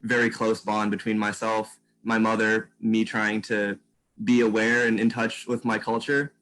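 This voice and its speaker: A man's voice: lowish voice, completely flat delivery, a little slow, fairly bright tone, rough recording. A young man from Virginia telling his background in a reflective way, with frequent "um". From Virginia, United States. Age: young adult. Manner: reflective, uses "um" often. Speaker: scholarship recipient guest. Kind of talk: casual interview answers.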